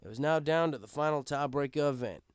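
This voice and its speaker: A man's voice: fairly high pitch, quick pace, smooth, faint music behind. A man reading a scripted first-person story as an audiobook narrator, with dramatic emphasis. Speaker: audiobook reader.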